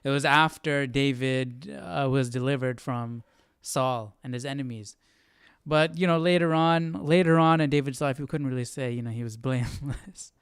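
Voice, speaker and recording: Clear-voiced man in a dry, close recording. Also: mid-range voice, normal speed, dry recording. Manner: informal, thinking aloud. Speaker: Bible study host. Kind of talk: casual study commentary and reading aloud.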